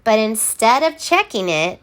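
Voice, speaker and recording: Slow, animated woman reading a storybook. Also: a little high. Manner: theatrical, deliberate, emphatic word by word. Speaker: storybook reader. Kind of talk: expressive children's read-aloud narration.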